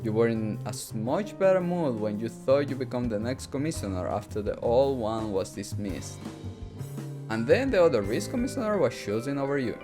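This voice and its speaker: A man's voice: medium pitch, sing-song, moderate pace, lightly acted. Guy doing a fed-up bossy wife from a game, formal telling-off, music behind. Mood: stern, scolding. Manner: formal, scolding, commanding. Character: stern, fed-up wife scolding her husband.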